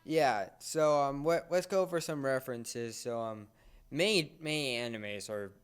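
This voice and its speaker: Clear-voiced man hosting a casual discussion. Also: mid-range voice, unhurried. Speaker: podcast host.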